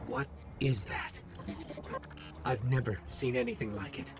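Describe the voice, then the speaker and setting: A male actor playing a small, earnest, wary robotic companion in a video game, with an expressive, inquisitive delivery. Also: medium pitch, a little slow, noisy background, lightly acted. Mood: wary, uneasy. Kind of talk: dramatic video game voice acting.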